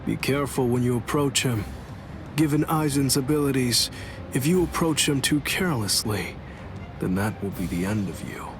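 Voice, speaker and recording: A man's voice: medium pitch, animated, normal speed, close to an everyday voice. A man voicing a laid-back, wry sword-fighting captain in an anime game dub, dry in manner. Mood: stern, urgent. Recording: music behind the voice.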